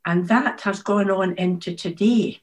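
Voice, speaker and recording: A woman, an author answering interview questions in a thoughtful, measured way. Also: medium pitch. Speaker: author, guest.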